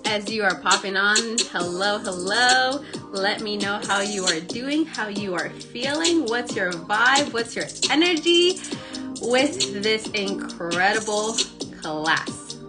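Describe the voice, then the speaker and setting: A young woman, raspy from a cold, hosting a live stream and effusively greeting her viewers by name. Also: mid-range voice, expressive, slow pace, over music. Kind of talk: live-streamed motivational talk.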